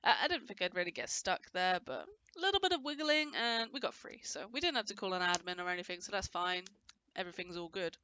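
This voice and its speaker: A woman's voice: fairly low pitch, sharp tone. A woman with a cold, casually narrating her gameplay in a chatty, rambling way with frequent restarts. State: has a cold, voice a bit off. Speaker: gameplay commentator. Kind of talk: casual let's-play commentary.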